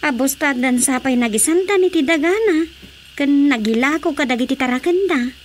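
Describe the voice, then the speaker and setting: High-voiced village woman. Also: expressive, moderate pace, a little hiss or hum. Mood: chatty, worried. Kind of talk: Ilocano radio drama comedy acting.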